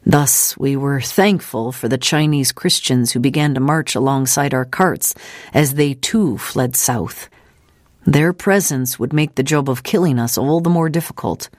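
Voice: mid-range voice, unhurried, no room sound, lightly acted. Composed veteran missionary woman, read by a narrator, dry recording. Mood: grave, recollecting. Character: steadfast veteran missionary woman recounting danger.

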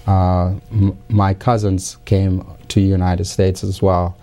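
A low, mellow-voiced man speaking English as a second language, answering interview questions in a measured, halting way. His pitch stays flat and even, and he often pauses to search for words. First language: not English. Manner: many fillers and restarts, careful, measured. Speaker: interview guest. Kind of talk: hesitant conversational interview answers.

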